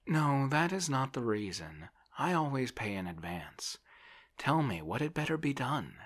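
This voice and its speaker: A man's voice: mid-range voice, very animated, measured pace, a bit bright, voice pushed up. A man voicing a fretful, indolent, helpless landowner in an audiobook, plaintive and dithering as he protests. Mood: fretful, alarmed. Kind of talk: audiobook character voice.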